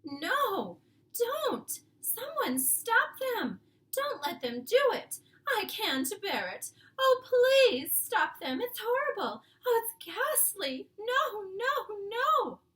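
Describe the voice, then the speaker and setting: A woman reading a storybook acts out a genteel queen in distress. The voice is very high and swoops dramatically, stretching out emphatic words in refined alarm. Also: big pitch swings, fairly bright tone, exaggerated voice. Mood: horrified, crying out. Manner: emphatic, drawn-out, genteel exclamations.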